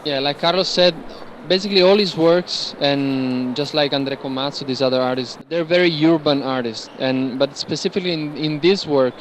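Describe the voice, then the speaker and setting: A man's voice: a little high, normal speed. A man working as a curator, talking informally as he guides visitors around works at an art fair. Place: large indoor art fair among gallery stands. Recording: noisy fair hall ambience behind voice. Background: busy fair hall background noise.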